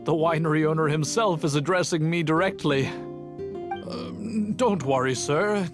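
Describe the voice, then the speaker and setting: An actor playing an eager, deferential master brewer in a video game, gushing and flustered. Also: male voice, on the high side, unhurried, over music, close to an everyday voice.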